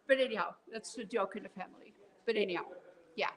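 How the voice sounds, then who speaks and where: A middle-aged woman with a Dutch accent, chatting as a guest in an interview and pausing often with fillers and restarts. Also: mid-range voice, rough recording. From Netherlands. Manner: halting, with many fillers and restarts. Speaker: guest quilter and artist. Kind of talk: casual interview chat.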